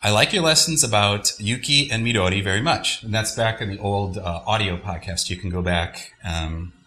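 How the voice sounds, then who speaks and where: Male co-host, low-ish bright voice, easygoing, reads listener mail. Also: moderate pace. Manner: relaxed, reads letters aloud with small stumbles. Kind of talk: casual language-lesson chat.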